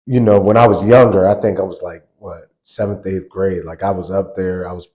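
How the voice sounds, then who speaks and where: Laid-back man with a low voice. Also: a little fast, muffled recording. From grew up on a US army base in Germany. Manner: relaxed, laughs often, lots of "like" and restarts. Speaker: college basketball assistant coach, guest. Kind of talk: casual interview storytelling.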